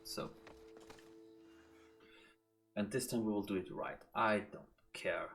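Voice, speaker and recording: A man from Austria with an Austrian German accent, a native German speaker, chatting casually over his gameplay in a relaxed, rambling way. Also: lowish voice, slow, gravelly, faint music behind. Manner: relaxed, rambling, musing aloud. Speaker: let's play host.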